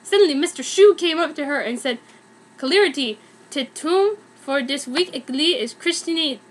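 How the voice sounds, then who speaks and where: Young woman w a really high voice doing a mock earnest dramatic fanfic reading, comedy style. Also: expressive, normal speed. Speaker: fan fiction reader. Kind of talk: dramatic reading of a fan story, played for comedy.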